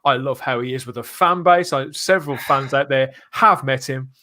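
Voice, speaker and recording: A man hosting a live fan podcast, speaking in an earnest, emphatic way with deliberate phrasing. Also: medium pitch, pushed and bright. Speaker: podcast host.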